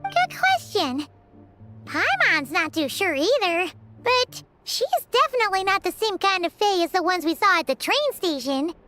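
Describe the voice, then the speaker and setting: A woman's voice: very high-pitched, big pitch swings, moderate pace, pushed and bright, faint music behind, full character voice. A woman voicing a small, chirpy, talkative fairy companion with a childlike manner in a video game. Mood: curious, chatty. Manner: refers to herself by name in third person.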